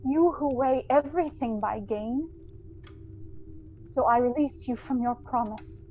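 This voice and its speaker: A woman playing a sorrowful, dignified young woman in an audio drama, speaking gently and earnestly in measured phrases. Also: high voice, highs cut off, a little hiss or hum. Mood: sorrowful, firm. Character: sorrowful, dignified young fiancée releasing her beloved. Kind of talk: theatrical audio drama acting.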